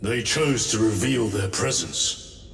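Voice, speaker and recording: An actor playing an earnest, suspicious space marine sergeant in a video game, with a formal, pointed delivery. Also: male voice, on the low side, slow, a bit bright. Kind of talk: dramatic video game cutscene acting. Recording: echoey hall, distant mic, faint music.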